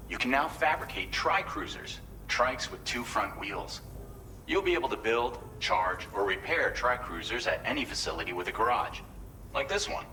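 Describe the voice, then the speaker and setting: A man's voice: fairly low pitch, sharp tone, slight background noise, low-quality recording. A man playing a friendly, earnest colleague who gives a chatty, considerate briefing in a video game.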